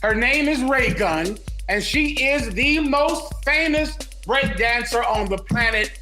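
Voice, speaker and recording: Man, high voice, preachy sermon-like delivery, faint music behind. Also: lively intonation, a little slow, bright, forceful tone. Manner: sermon-like, religious talk. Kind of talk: casual commentary chat.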